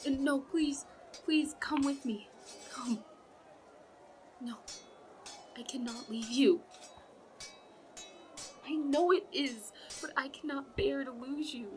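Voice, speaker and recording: A woman's voice: sits high, slow pace, pauses often, slight background noise. A woman playing an anxious, lovelorn young princess bride in a romantic audio roleplay, speaking formally and hesitantly. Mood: panicked, desperate pleading. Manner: formal regal phrasing, hesitant, breathy pleading.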